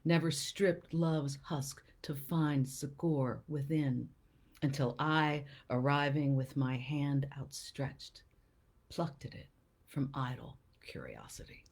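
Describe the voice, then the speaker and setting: Female host, low pitch, slow unhurried delivery; publisher chatting, then reading poetry with lingering phrasing. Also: darkish tone. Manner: unhurried, lingering over poem lines. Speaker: poet and small press publisher, show host. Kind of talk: casual talk and poetry reading.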